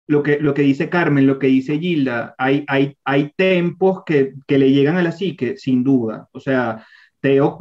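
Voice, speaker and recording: Brief: man, mid-pitched, engaged storyteller, muffled lo-fi call sound. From Venezuela. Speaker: group participant. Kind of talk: casual group discussion. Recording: dull lo-fi call audio.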